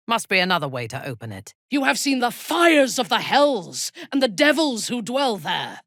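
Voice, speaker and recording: A woman playing a ruthless, haughty dark elf noblewoman in a fantasy video game, speaking in a measured, menacing way with a breathy, husky voice. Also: medium pitch, dry recording, clean studio sound, exaggerated voice. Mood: cool, alert, then commanding, menacing. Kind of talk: dramatic fantasy game dialogue.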